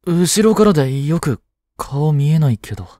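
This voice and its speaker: A young man playing a teasing but tender schoolboy boyfriend in a voice drama, speaking casually and softly with hesitant pauses. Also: on the high side, lively intonation, no echo, clean studio sound, lightly acted. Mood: apologetic. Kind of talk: Japanese boyfriend voice drama, intimate one-sided dialogue.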